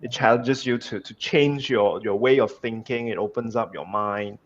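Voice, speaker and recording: A man with a Malaysian accent hosting a casual interview, agreeable and halting, often repeating words as he goes. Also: on the low side, muffled recording. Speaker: host.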